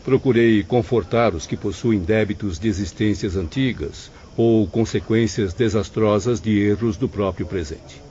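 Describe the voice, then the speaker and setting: A man with a Brazilian accent playing a kindly, patient spirit in an audiobook drama, gentle and formal in his diction. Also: on the low side, moderate pace, few pauses, slight background noise, lightly acted. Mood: kindly, inquiring. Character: kindly, patient male spirit comforting the suffering. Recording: dull audio, faint insect ambience.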